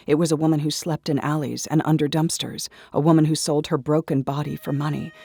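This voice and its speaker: A male storyteller reads a dramatic first-person tale with deliberate intensity. His mid-pitched voice has a clear rasp of vocal fry, recorded dry with faint music underneath. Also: a little mellow. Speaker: story narrator.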